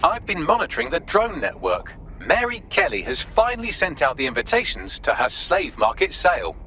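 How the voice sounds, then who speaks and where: Witty ai helper in a game, bright lively voice, dry and articulate. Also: male voice, high-pitched, moderate pace, full character voice. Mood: wry. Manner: wry, composed, articulate. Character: dry, witty male artificial intelligence assistant. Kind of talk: video game voice acting.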